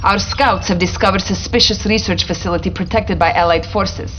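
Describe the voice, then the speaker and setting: An actress playing an earnest, determined Soviet resistance officer with a Russian accent, briefing solemnly in a video game. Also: female voice, mid-range voice, fairly quick, a bit bright, close to an everyday voice. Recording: dull audio with faint background noise.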